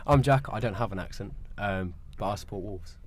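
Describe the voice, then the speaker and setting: A man trading quick jokes about football in a casual podcast chat. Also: fairly low pitch. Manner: quick, joking interjections. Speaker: podcast co-host.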